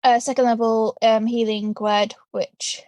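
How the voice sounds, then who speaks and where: A woman takes her turn in a tabletop game, thinking aloud in casual talk. She speaks slowly and hesitantly, with a very flat, even pitch. The recording is dry. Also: no room sound.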